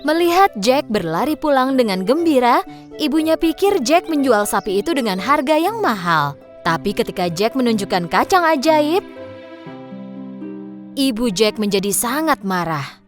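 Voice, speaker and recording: A woman's voice: a little high, big pitch swings, moderate pace, soft background music. A woman narrating a scripted fairy tale for children.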